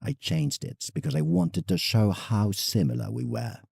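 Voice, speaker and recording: A man's voice: fairly low pitch, big pitch swings, moderate pace, gravelly, darkish tone, husky, dry recording, clean studio sound, full character voice. A man playing a proud, reflective English landscape painter in an audio drama, telling his travels formally as a letter to a friend.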